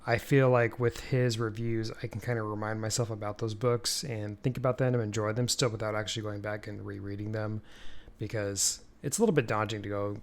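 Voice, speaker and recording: A man co-hosting a podcast in casual chat, talking in an easygoing, measured way. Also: lowish voice, little pitch movement. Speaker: podcast co-host.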